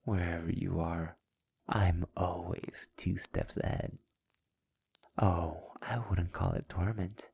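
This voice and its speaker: Slow, deep, breathy vampire master, acted by a man. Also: fairly even intonation, darkish tone, heavily acted. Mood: smug. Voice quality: breathy, gravelly low voice, often near a whisper. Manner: slow, theatrical, taunting. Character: sadistic, possessive immortal male vampire master, seductive and menacing. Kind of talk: intimate ASMR roleplay.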